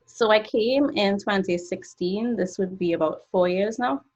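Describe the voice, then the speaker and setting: A woman's voice: mid-range voice, a little slow, darkish tone. A woman in her twenties from Trinidad and Tobago, giving an earnest, explanatory talk about her work over a video call from her home studio. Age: 20s. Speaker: guest artist. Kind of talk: presentation talk. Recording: dull lo-fi call audio.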